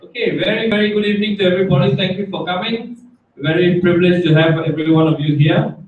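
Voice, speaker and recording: A man's voice: high-pitched, quick pace, narrow, tinny audio. A man hosting an event, giving a welcome speech and introducing a guest speaker to a meeting. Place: meeting room, before an audience. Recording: very echoey room, distant mic, faint noise.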